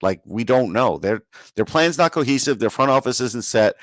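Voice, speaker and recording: A man's voice: medium pitch, animated, moderate pace, a bit bright, no echo. A man co-hosting a sports podcast, giving casual, opinionated commentary in a plain-spoken way.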